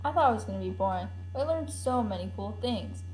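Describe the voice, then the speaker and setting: A woman's voice: medium pitch, animated, fast talker, darkish tone, faint music behind, lightly acted, poor audio. A woman playing an enthusiastic child tourist telling of a family trip in a scripted puppet show. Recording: faint hum and noise.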